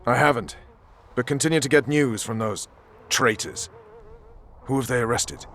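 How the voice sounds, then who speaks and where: A man's voice: medium pitch, normal speed, fairly bright tone, a little hiss or hum, lightly acted. A man acting a hard, blunt soldier and spymaster in a fantasy video game, clipped and intense. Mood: matter-of-fact.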